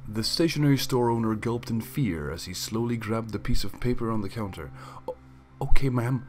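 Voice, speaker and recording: A man narrating a fan story in a lively, expressive reading voice. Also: fairly low pitch, brisk, faint music behind. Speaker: fanfic reader.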